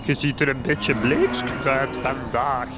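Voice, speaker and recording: A man's voice: medium pitch, steady tone, over loud music, close to an everyday voice. An actor playing a folksy, baffled farmer talking to his livestock in a radio horror comedy. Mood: puzzled. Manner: slow, folksy.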